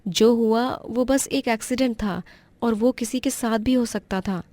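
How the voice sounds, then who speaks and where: Kind young wife for cartoon story, performed by a woman; polite, expressive, close dry sound. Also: a little high, measured pace, dry recording, voice pushed up, lightly acted. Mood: reassuring. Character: kind, resourceful young married woman, eager to help.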